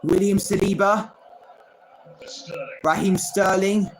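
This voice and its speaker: A man's voice: high-pitched, steady tone, slow pace, a little hiss or hum, low-quality recording. A man hosting a live football watchalong stream, calling each pass by player name and chatting with viewers between plays. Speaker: livestream host.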